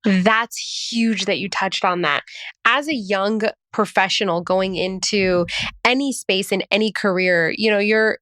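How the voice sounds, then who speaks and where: A woman hosting an interview podcast, talking in an eager, affirming way with frequent quick agreements. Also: medium pitch, steady tone, fairly bright tone, no room sound, clean studio sound. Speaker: podcast host. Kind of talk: casual interview conversation.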